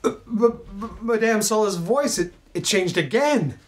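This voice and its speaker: A woman's voice: medium pitch, lively intonation, normal speed, close to an everyday voice. A woman playing a panicky seance guest who stammers in alarm, in old-time radio drama style.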